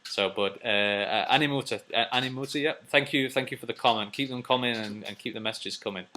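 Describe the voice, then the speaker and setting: A man's voice: mid-range voice, fast talker, sharp tone, a little hiss or hum. A man hosting a casual, unscripted advice video, answering viewers as he thinks aloud, rambling and repeating phrases.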